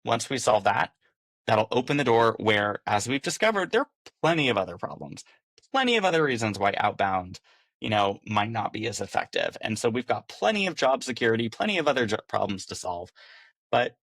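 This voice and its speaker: Male business podcast guest, chatty and informal, low creaky voice, dry sound. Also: very animated, brisk, a bit bright, no echo. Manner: talkative, informal, often voices imagined quotes. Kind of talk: casual, conversational interview answers.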